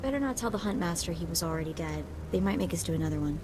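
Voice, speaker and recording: An actress playing a wry, flippant bounty hunter's sidekick in a video game, with casual wisecracking delivery. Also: female voice, on the low side, lively intonation, very fast, noisy background, natural voice.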